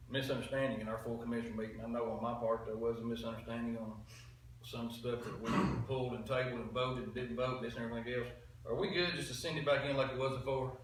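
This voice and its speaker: A man's voice: mid-range voice, a bit bright. A man with a Southern US accent speaking informally as a committee member, folksy and repeating himself. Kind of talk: informal meeting discussion. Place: indoor public meeting room. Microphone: distant room microphone. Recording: very echoey room, distant, clear noise.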